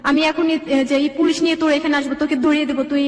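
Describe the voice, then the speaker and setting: A young woman with a Bangladeshi accent speaks in a high voice at a quick clip. She recounts her life in long, rambling sentences as a guest being interviewed. The room rings with heavy reverb around her. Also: little pitch movement. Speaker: guest telling own life story. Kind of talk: personal storytelling in an interview.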